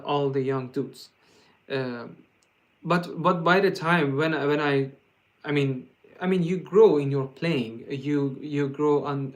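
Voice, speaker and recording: A man from Iran with a Persian accent, hosting a guitar livestream and answering viewer questions in a casual, halting way, full of fillers and restarts. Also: medium pitch. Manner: laughs often, joking.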